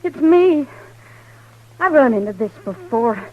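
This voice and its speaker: A woman's voice: on the high side, lively intonation, slow pace. An actress playing a wounded, tender, plainspoken frontier woman in a western radio drama, speaking frankly and world-wearily. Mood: hurt, confiding. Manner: soft, frank, world-weary. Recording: muffled old radio recording.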